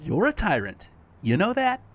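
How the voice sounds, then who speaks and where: A man's voice: high voice, wildly swooping pitch, normal speed, heavily acted. A man playing an exasperated, put-upon sidekick in an audio drama, indignant and dramatic. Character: exasperated, put-upon, wounded male would-be best friend.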